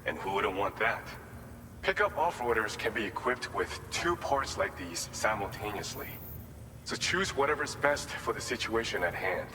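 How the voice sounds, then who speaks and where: A man voicing an earnest, humble former boxing champ in a video game, speaking in a measured, plain-spoken, sincere way. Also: lowish voice, animated, natural voice. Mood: informative. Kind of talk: video game cutscene dialogue and briefing. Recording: reverberant hall, background vehicle noise.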